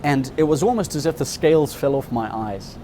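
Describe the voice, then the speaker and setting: A candid man in his fifties recounts his past in a thoughtful, earnest way. His voice sits at an ordinary middle pitch. A steady rumble of outdoor traffic hums clearly behind him. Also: fairly quick. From Zimbabwe. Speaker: interviewee, subscriber. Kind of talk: personal storytelling in an interview.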